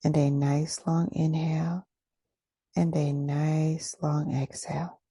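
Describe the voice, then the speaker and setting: Low, husky woman guiding meditation. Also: level tone, mellow, dry recording, clean studio sound. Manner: soothing, unhurried, pausing between short phrases. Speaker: meditation guide. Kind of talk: guided meditation, gentle scripted instruction.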